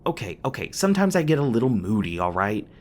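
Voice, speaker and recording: A man's voice: fairly low pitch, very animated, fast, a little mellow. A man playing a flustered, tender demon boyfriend in an intimate ASMR roleplay, speaking hesitantly with stammers, bashful and a little defensive. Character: flustered, tender young male demon boyfriend, secretly human-born.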